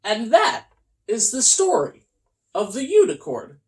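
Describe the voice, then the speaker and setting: A host reading a comic children's storybook aloud in a theatrical, mock-earnest way with deliberate pauses. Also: mid-range voice, huge pitch swings.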